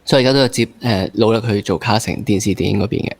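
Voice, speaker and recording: A man with a Hong Kong accent hosting a casual interview, feeling his way through halting phrases with some English words mixed in. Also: fairly low pitch, dry recording. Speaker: interview host.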